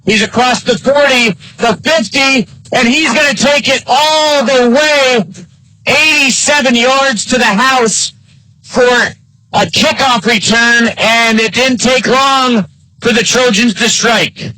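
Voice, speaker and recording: A man's voice: fairly even intonation, slow pace, pushed and bright, no room sound, low-quality recording. An American man working as a play-by-play announcer, delivering live American football commentary. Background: live high school football game broadcast.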